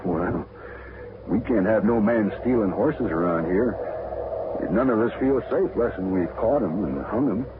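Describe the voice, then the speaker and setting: An actor playing a plainspoken frontier rancher who leads a lynching, with a slow rural drawl, in a 1950s radio drama. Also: male voice, lowish voice, animated. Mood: matter-of-fact. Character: rough frontier man leading a lynching, plainspoken. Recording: tinny old radio broadcast with hiss.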